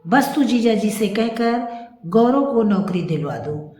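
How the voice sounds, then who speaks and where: A woman voicing a wronged, resolute wife and mother in a grave, measured, earnest manner for a story. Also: fairly high pitch, expressive, mellow. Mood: resigned, firm. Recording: echoey room.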